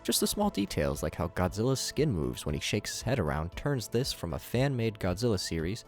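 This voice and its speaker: A man's voice: lowish voice, very animated, brisk, faint music behind. A man giving scripted video commentary in a casual, opinionated, enthusiastic way.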